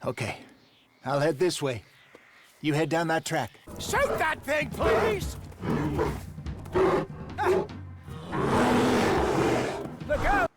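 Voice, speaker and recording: An actor playing a hearty, good-humored older American hunter with a folksy manner, in a game cutscene. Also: male voice, on the high side, wildly swooping pitch, extremely slow, pauses often, music in the background, full character voice. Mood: panicked. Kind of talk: naturalistic game cutscene acting.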